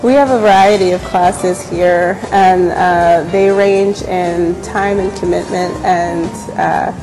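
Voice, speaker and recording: A woman's voice: lowish voice, steady tone, slow, poor audio. A young woman, talking casually about her glass studio in an interview, with plenty of fillers and restarts. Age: young adult. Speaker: glass studio staff member. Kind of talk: on-camera interview about a venue. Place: large indoor gallery space. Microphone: lapel mic. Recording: music bed behind the voice.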